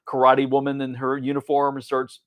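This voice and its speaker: A man's voice: medium pitch, completely flat delivery, brisk, fairly bright tone. A middle-aged man talking casually as a podcast co-host, recounting things he watched. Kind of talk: casual chat, recounting TV memories.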